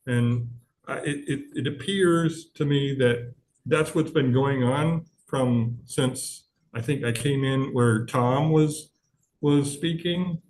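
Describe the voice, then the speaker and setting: A man speaking as grammarian at an online club meeting, halting and full of fillers, over a video call. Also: medium pitch, a little mellow, rough recording. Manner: halting, many fillers and restarts. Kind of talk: hesitant club meeting remarks.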